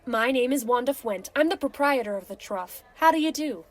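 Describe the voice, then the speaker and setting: A woman's voice: sits high, lively intonation, fairly quick. A woman playing an older tavern keeper, motherly, teasing and chatty, in a fantasy audio drama. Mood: friendly, chatty.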